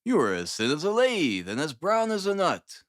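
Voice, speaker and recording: Man voicing a lively young Victorian gentleman, friendly. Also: fairly high pitch, moderate pace, dry recording, clean studio sound, voice pushed up. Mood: astonished. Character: young Victorian Englishman, friendly, curious old acquaintance. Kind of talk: audiobook character dialogue.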